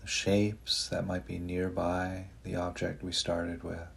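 A man leading a guided meditation in a soft, breathy voice, giving gentle, unhurried instructions with long pauses. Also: deep voice, level tone.

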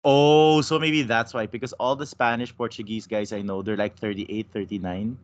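A man's voice: on the low side, moderate pace. A man from the Philippines chatting casually, teasing his co-host and cutting in with questions. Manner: teasing, animated, interrupts with questions. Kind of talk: casual chat. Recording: dull lo-fi sound.

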